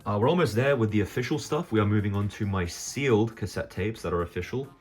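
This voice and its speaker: A man's voice: fairly low pitch, fast talker. A man with an Australian accent talking casually and off the cuff to viewers as he presents a collection, rambling a little with hesitant asides. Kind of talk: casual unscripted show-and-tell to viewers.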